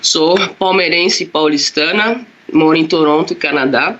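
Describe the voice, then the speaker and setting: A woman's voice: deep voice, unhurried, dull audio. A woman with a São Paulo accent giving a casual personal testimony. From São Paulo, Brazil. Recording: loud music over the voice.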